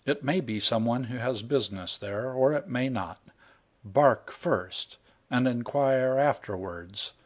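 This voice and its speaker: A man's voice: mid-range voice, slow pace. A man voicing a stern mother dog giving her pup firm rules in a storybook reading. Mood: instructing.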